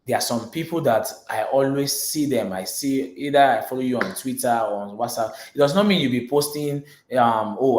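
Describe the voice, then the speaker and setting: A man with a Nigerian accent, Yoruba his first language, answering interview questions earnestly, restarting phrases and filling with "you know". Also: on the low side. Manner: earnest, repeats words, many "you know" fillers. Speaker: guest speaker. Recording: roomy echo.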